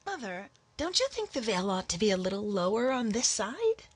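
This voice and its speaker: A woman's voice: mid-range voice, very animated, normal speed, breathy edge. A woman playing a dreamy, earnest young bride in a radio drama.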